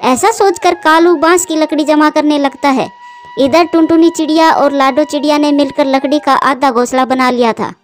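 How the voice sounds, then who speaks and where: A woman narrates a scripted children's story. Her voice is high, and faint music plays beneath it. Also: fairly even intonation, moderate pace. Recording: music behind the voice.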